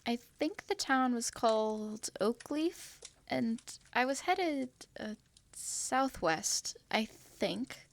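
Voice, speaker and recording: A woman's voice: fairly high pitch, lively intonation, slow pace, creaky, heavily acted. Woman voicing a shy young winged girl. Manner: hesitant, apologetic, soft-spoken. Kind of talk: fantasy audio drama acting.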